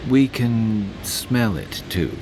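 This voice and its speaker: A world-weary soldierly companion in a fantasy game. His low voice grumbles in a deadpan way, with strong rises and falls in pitch. The noise behind him is loud. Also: drawn-out words, heavily acted. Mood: grumbling, deadpan. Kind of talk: video game voice acting.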